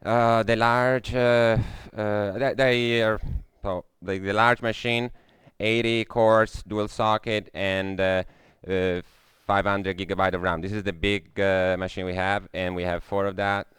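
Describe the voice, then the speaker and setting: A man's voice: on the low side, level tone, measured pace, hardly any pauses, fairly bright tone, smooth, no room sound, clean studio sound. A young Italian man with an Italian accent giving a technical conference talk, hesitant, with many fillers and restarts. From Italy. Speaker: conference speaker. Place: lecture room.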